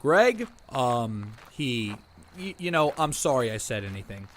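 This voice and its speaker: Male actor as a small-town sheriff, mid-pitched voice, formal, authoritative, courteous, protective, audio drama. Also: big pitch swings, unhurried, slight background noise. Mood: friendly, earnest.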